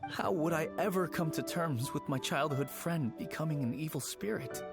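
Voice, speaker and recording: A man voicing an anxious young man haunted by a lost childhood friend, speaking in a halting, worried, soft-spoken way, in game audio. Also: on the high side, vocal fry. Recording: game audio with background music.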